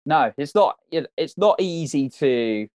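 A man's voice: on the high side, animated, unhurried, a little mellow, dry recording, clean studio sound. A man from the United Kingdom giving advice in a casual interview, earnest and encouraging, often repeating words as he thinks.

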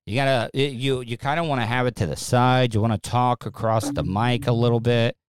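A man's voice: mid-range voice, fairly even intonation, a little fast, clear, resonant tone, no room sound, clean studio sound. A man hosting a casual live-streamed talk show, rambling along with repeated words and restarts. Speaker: podcast host.

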